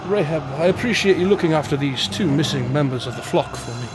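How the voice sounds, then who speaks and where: Man playing a high, quick, wry divine guide. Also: expressive, lightly acted. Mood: authoritative. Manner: polite, teasing, lofty. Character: wry, teasing divine guide shepherding time travelers. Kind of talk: audio drama acting. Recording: dramatic music underneath.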